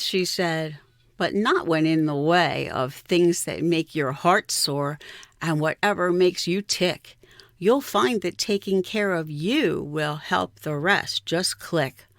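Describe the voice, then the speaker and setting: Woman reading poetry, takes it really slow, dry close sound. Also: lowish voice, no room sound. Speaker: poet reading own poem. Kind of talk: measured poetry recitation.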